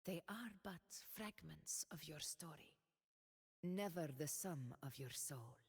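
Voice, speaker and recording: Proud noble ruler in a game, solemn, measured, slow-spoken, echoing hall. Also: female voice, low voice, lively intonation, husky, full character voice. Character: proud noble woman ruler, steadfast warrior. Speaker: game character voice lines. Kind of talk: game voice lines.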